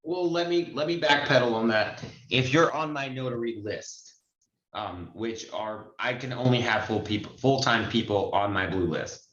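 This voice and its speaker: A man's voice: mid-range voice, moderate pace, a bit bright. A man giving candid, informal instruction as a trainer answering questions on an online group call. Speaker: notary trainer. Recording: dull, echoey online call audio.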